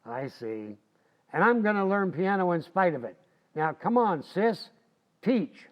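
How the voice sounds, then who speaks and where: Radio comedy: actor as folksy male schemer, high voice, slangy bluster, slightly dull sound. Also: big pitch swings, unhurried. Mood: pushy. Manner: slangy, cocky, grumbling. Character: folksy, blustering, stubborn married man, a hapless schemer. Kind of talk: old-time radio comedy acting.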